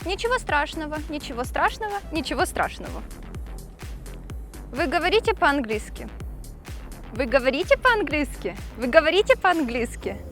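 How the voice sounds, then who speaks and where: A woman teaching phrases in a slow lesson style, deliberately repeating each one. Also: sits high, big pitch swings, a bit bright, faint music behind. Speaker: language teacher demonstrating phrases.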